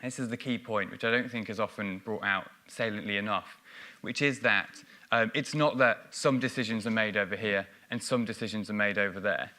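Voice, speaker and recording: A man with a lowish, forceful, bright voice gives a conference talk on stage. He explains his points step by step and often pauses on "um" or restarts a phrase. He is speaking into a stage microphone. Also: fairly even intonation. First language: English. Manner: explanatory, frequent ums and restarts. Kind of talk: business conference presentation.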